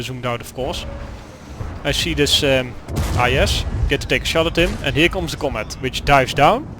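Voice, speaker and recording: A young man with a Dutch accent, Dutch his first language, commenting on a gameplay replay in a halting way with many fillers and restarts. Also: fairly high pitch, background noise. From Netherlands. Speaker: gaming commentator.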